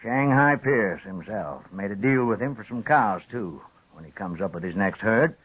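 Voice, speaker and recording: An actor playing a blunt, plain-spoken old frontier rancher with folksy rural phrasing in a radio western. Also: male voice, lowish voice, lively intonation, moderate pace, a bit bright, husky. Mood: guarded. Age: older. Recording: dull lo-fi 1950s radio recording.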